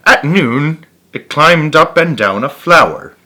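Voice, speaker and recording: A man narrating as a storyteller, reading aloud for children in an animated style. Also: on the high side, slow pace. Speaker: storyteller, channel host.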